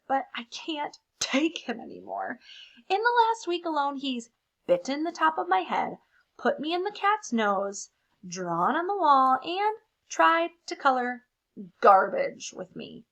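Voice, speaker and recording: A woman's voice: high-pitched, big pitch swings, unhurried, creaky, fairly bright tone, pitched above the performer's own voice, full character voice. A woman voicing a desperate, indignant chunky toddler's crayon pleading in a storybook.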